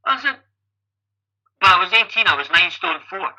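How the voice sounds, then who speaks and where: A man's voice: sits high, expressive, moderate pace, bright, forceful tone, rough recording. A Scottish man in his fifties, a guest athlete and coach, telling stories in a chatty way and often repeating the first word of a sentence. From Scotland. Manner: chatty, repeats words when starting sentences. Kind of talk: casual interview storytelling.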